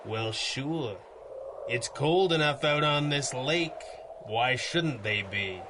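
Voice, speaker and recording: An actor playing a cool, menacing masked hero in a 1930s-style radio drama, deliberate, dry and commanding. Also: male voice, medium pitch, expressive. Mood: knowing. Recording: loud background noise.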